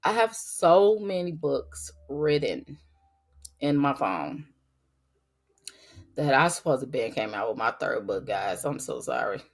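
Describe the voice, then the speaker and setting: Podcast host, middle-aged woman, low voice, raspy from a cold, earnest and emphatic, casual faith talk. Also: very animated, slow pace, long pauses. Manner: earnest, emphatic, talks straight to listeners.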